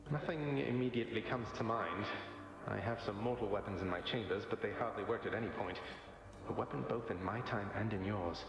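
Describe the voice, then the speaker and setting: A man's voice: on the low side, brisk, natural voice. A man playing a cocky young underworld prince in a video game, with a dry, sardonic, casually eloquent delivery. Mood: thoughtful. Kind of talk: video game drama acting. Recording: echoey hall with long reverb.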